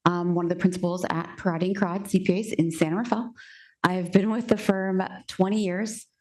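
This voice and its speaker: Low-voiced Californian woman. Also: steady tone, normal speed. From Marin, California. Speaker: accountant panelist. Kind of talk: panel self-introduction.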